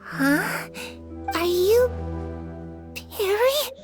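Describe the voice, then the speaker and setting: A woman voicing a tiny, childlike fairy companion in a video game, earnest and excitable. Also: extremely slow, music in the background, heavily acted. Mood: surprised. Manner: squeaky, pleading, speaks of self in third person.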